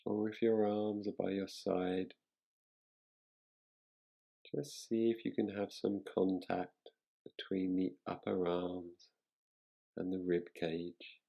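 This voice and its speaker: A man guiding a relaxation meditation as a teacher, speaking in a soothing, unhurried manner with long pauses. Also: low voice, little pitch movement, creaky, mellow.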